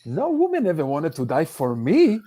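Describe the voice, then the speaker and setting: A man with a thick Israeli accent delivers a stand-up set over a video call, his voice sitting a little high. He jokes in a deadpan way, stumbling and restarting his sentences as he goes. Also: heavy background noise. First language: Hebrew. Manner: deadpan joking with halting restarts. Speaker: stand-up comedian.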